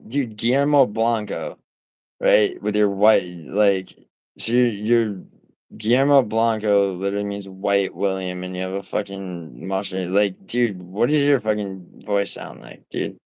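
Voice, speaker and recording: Low, nasal New man. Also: unhurried, a little mellow, no room sound. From New York City. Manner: rambling, profane, full of fillers and restarts. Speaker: livestreamer. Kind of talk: casual livestream chat, reading viewer comments.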